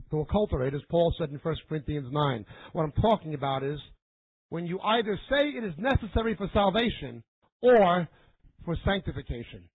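Husky, high-voiced male preacher, phone-like sound. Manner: emphatic and didactic, repeating phrases for weight. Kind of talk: sermon-like teaching lecture to a live audience. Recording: narrow, phone-like band.

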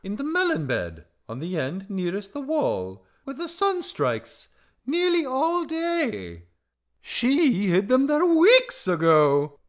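Very high, singsong little songbird. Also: male voice, wildly swooping pitch, takes it slowly, heavily acted. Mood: singsong, boastful. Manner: singsong, exultant delivery. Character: small feather-brained male songbird, triumphant and boastful.

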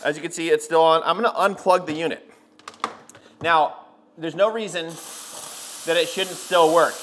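Chatty man reviewing gear, slightly high voice. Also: steady tone, normal speed, fairly bright tone, slight background noise. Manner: chatty, addresses viewers as guys, frequent fillers. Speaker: car detailing channel host. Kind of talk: casual product review vlog. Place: garage workshop, outdoors by a van, and a home hallway.

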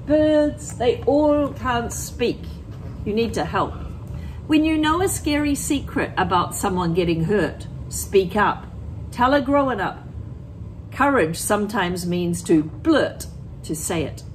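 A middle-aged woman teacher reading a picture book aloud to children, with deliberate, expressive phrasing, talking directly to her young listeners. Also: high-pitched, a little hiss or hum, poor audio. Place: empty school classroom, indoors.

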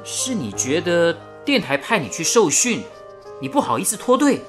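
Radio play: a modest, curious young engineer, lively inflection, faint music. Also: male voice, fairly high pitch, moderate pace. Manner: inquisitive, mild. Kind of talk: 1930s-set radio drama acting.

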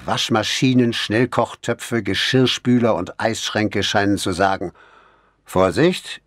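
Male narrator for crime audiobook. Lowish, husky voice, measured expressive reading, dry close sound. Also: dry recording. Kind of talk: scripted first-person crime novel narration.

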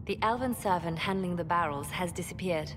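An actress playing a calm, dutiful female spymaster who speaks in a grave, clipped manner in a video game. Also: fairly low pitch, a little fast, noisy background, natural voice. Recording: game audio with long reverb and music.

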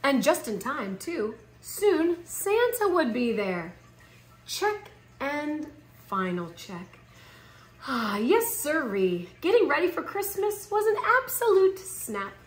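A woman in her thirties reading a children's picture book aloud in a theatrical, expressive way with drawn-out emphasis. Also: sits high. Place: living room at home, indoors. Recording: roomy home recording.

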